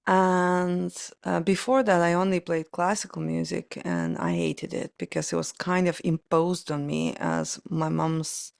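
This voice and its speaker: A woman's voice: lowish voice, animated, a little slow, vocal fry, few pauses, darkish tone, no echo, clean studio sound. A woman in her forties with a Ukrainian accent, speaking reflectively and telling stories as an interview guest. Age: 40s. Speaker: podcast guest, pianist and performance coach.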